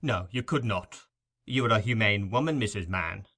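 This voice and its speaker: A man's voice: lowish voice, animated, normal speed, bright, forceful tone, exaggerated voice. A man doing a pompous fat beadle. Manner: pompous, self-important, blustering. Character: pompous fat choleric male parish beadle.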